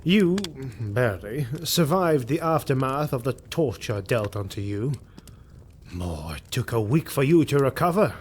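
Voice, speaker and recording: An actor playing a grim, vengeful Imperial officer in a fantasy audio drama, speaking solemnly and formally with deliberate emphasis. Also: male voice, mid-range voice, big pitch swings, darkish tone, slight background noise. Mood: probing, measured. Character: grim, vengeful male Imperial army officer recounting war.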